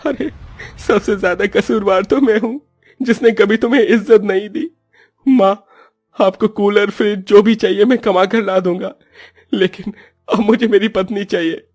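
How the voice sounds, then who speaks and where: A man acts a young husband in a cartoon drama. His voice is notably high for a man and melodramatically emphatic, with faint music underneath. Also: steady tone, moderate pace, darkish tone. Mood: remorseful. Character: curt, resentful young husband in a cartoon story.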